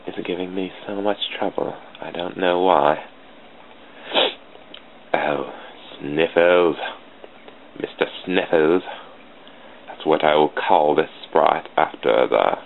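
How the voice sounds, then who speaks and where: A man's voice: extremely low pitch, fairly even intonation, slow, pauses often. A man with a British accent giving rambling, self-deprecating commentary to himself while drawing on a computer, recorded at a home desk on a cheap computer mic. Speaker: channel host drawing sprites. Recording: tinny phone-like lo-fi mic with faint hiss.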